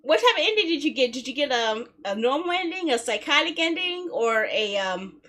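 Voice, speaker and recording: Playful high-voiced woman streaming. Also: big pitch swings, fairly quick, pushed and bright. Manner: playful, giggly, chatty. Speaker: video game livestream host. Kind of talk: casual livestream chat with viewers. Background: music.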